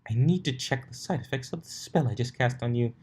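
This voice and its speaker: A man's voice: mid-range voice, brisk, darkish tone, slightly rough, voice pushed up, close to an everyday voice. A man voicing a prim, dramatic female unicorn in a cartoon story, prissy and theatrical in manner. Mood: mock grave.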